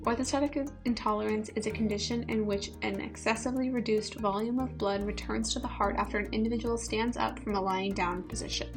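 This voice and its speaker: A woman with a fairly high voice narrates and puts questions to the interviewees. She reads in a measured, explanatory way, and music plays beneath her. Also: fairly even intonation. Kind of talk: scripted narration.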